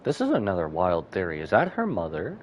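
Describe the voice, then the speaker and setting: A man's voice: sits low, wildly swooping pitch, fairly quick, highs cut off, a little hiss or hum. A man live-streaming a game, giving casual reaction commentary and musing aloud in short remarks.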